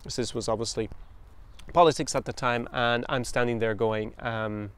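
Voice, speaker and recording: A man's voice: mid-range voice, fairly even intonation, normal speed, a bit bright, smooth. An Irish man in his thirties, hosting a podcast and telling a story from his travels in a chatty, anecdotal way. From Ireland. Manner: anecdotal, quotes others in voices, chuckles. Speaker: podcast host.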